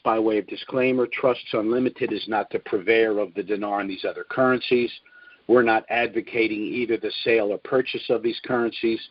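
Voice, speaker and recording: An older man with an American accent briefs listeners on a conference call. His delivery is measured and he leans on key points. The audio is dull and very dry, with little room sound. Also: fairly low pitch, no echo. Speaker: spokesman and host of a conference call. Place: at home, recorded over a conference call.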